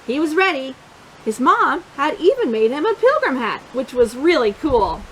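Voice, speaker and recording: A woman reading a picture book aloud for young children, expressive and encouraging, pausing to put questions to her listeners. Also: high-pitched, a little hiss or hum, rough recording. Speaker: children's storytime reader.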